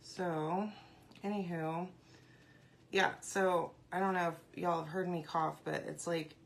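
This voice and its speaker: A woman hosting a casual craft live stream, congested and often clearing her throat, chatting and greeting viewers by name. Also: fairly low pitch, fairly even intonation, slow pace.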